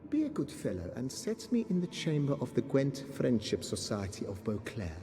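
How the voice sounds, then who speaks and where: A man's voice: mid-range voice, wildly swooping pitch, moderate pace. An actor playing the indignant ghost of a gambling nobleman, speaking in a theatrical, exasperated way. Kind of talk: video game voice acting. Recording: game audio with reverb and music.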